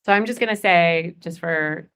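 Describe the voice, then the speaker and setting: A woman's voice: lowish voice, moderate pace, a bit bright, clean tone. A woman in her forties leading a goal-setting workshop, chatty and upbeat, laughing often. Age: 40s. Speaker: workshop facilitator.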